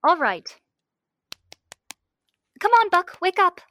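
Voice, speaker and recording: A woman's voice: extremely high pitch, big pitch swings, slow, bright, forceful tone, heavily acted. A young woman playing a spirited, curious space soldier in old-time radio serial style, with a perky, inquisitive manner. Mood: lively, amazed. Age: young adult. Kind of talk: 1930s radio serial acting.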